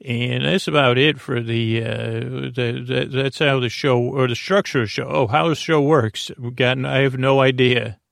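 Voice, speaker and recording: American guy doing a sleepy-time podcast ramble, croaky fry, keeps losing his thread, very dry sound. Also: mid-range voice, fairly even intonation, a bit bright, dry recording. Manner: meandering, halting, trailing off mid-thought. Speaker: host of a bedtime story podcast. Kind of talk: rambling bedtime monologue.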